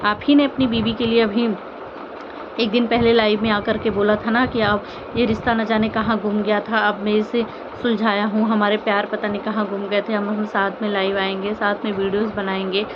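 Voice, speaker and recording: A woman's voice: mid-range voice, level tone, moderate pace, highs cut off, background noise. A woman vlogger giving informal, earnest opinion commentary, pleading with listeners and repeating phrases and rhetorical questions. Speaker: vlogger commenting on online family drama.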